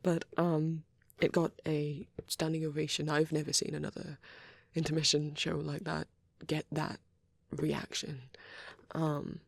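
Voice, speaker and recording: A performer voicing a shy, bashful, nerdy young male vampire boyfriend in a soft, hesitant, rambling ASMR roleplay. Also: mid-range voice, measured pace, vocal fry, no room sound. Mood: shyly enthusiastic rambling. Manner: hesitant, stammering, apologetic, excitable when rambling.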